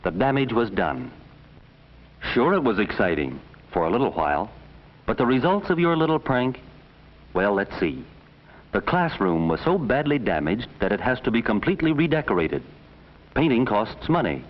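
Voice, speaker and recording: A man narrating a 1950s educational film as a stern, measured voice reproachfully addressing schoolboys. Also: medium pitch, sing-song. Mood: grave, reproachful. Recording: dull vintage 1950s film soundtrack.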